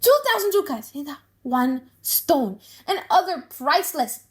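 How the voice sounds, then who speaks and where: Vengeful older moneylender, played by a woman, high-pitched, indignant, rhetorical, for an audiobook drama. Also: animated, measured pace. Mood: anguished. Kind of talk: dramatic audiobook reading of a play.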